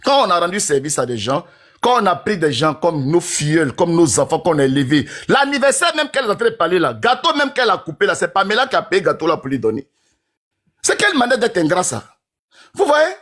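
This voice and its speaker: A man's voice: high voice, big pitch swings, fairly quick, a bit bright. A man in his forties talking in a casual, rambling monologue to his followers, who keeps asking whether they understand. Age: 40s.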